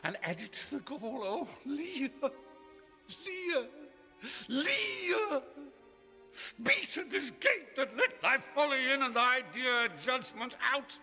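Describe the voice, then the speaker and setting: A proud old king, declaiming in a classical radio drama with a high, piercingly forceful voice. He moves from murmurs to roars at a slow, weighty pace, and the sound rings in a long hall-like reverb. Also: very animated, faint music behind, exaggerated voice. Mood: anguished. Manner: theatrical, declamatory, swinging from murmur to roar. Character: proud, aged king raging at his daughter.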